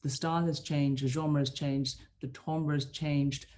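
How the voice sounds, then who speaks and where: A woman's voice: deep voice, flat delivery, measured pace, mellow. A woman musician talking over a video call, earnest and searching, often restarting her sentences. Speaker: musician guest.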